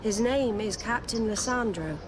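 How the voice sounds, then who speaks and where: A woman's voice: on the high side, unhurried, background noise, natural voice. An actress playing a guarded female admiral in a game, formal and clipped.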